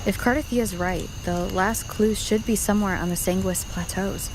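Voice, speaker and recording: A woman playing a serious, thoughtful young warrior in a video game, speaking earnestly and in a measured, questioning way. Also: mid-range voice, noisy background, natural voice. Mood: pensive, uneasy.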